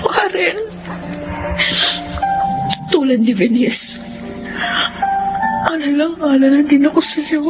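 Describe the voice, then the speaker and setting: A woman playing a gentle, self-sacrificing young cousin in a radio soap, speaking with melodramatic sorrow. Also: high voice, lively intonation, slow pace, long pauses. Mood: sad longing. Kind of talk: radio soap drama acting. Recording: dull audio under loud music.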